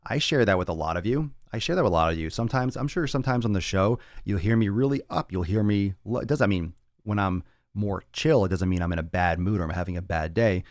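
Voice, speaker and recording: Fitness podcast host, male, very low voice, blunt and profane, dry close mic. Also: quick pace, few pauses, no echo. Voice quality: raspy on some days. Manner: blunt and profane, rambling with restarts. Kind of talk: casual solo talk answering listener chat.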